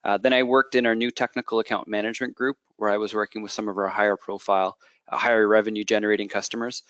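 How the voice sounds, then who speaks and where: A Canadian man in his thirties, a product manager walking through a software presentation, explaining at length with frequent fillers, restarts and a checking "okay". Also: fairly low pitch, little pitch movement, quick pace, no room sound, lo-fi sound.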